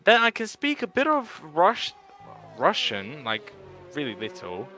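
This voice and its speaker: A man's voice: high-pitched, wildly swooping pitch, a little slow, pushed and bright. A man whose first language is Bosnian, chatting casually as a co-commentator on a sim racing livestream and laughing easily. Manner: chatty, laughs easily, jumps in over others.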